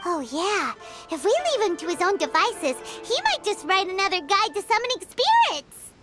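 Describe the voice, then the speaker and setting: A woman's voice: very high voice, very animated, normal speed, loud music behind the voice. A woman voicing a tiny, naive, childlike companion in a video game, bubbly and full of exclamations. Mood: puzzled. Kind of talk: scripted game voice acting.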